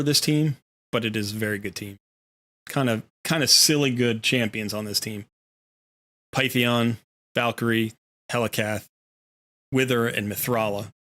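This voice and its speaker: A man's voice: medium pitch, pushed and bright. A man hosting a gaming livestream, chatting casually in a jokey, talkative way. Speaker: livestream host.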